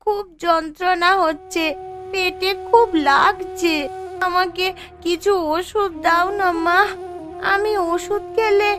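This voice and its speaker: A woman's voice: very high voice, steady tone, over music. A woman voicing a sick little girl in a cartoon, weak, whining and whimpering in pain. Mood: pained, tearful. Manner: slow, whimpering. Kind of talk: Bengali cartoon voice acting.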